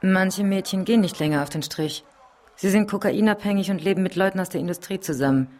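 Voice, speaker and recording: A woman's voice: medium pitch, fast, darkish tone, close to an everyday voice. An actress in a German radio drama playing a shrewd, businesslike call girl with a matter-of-fact manner. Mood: explaining.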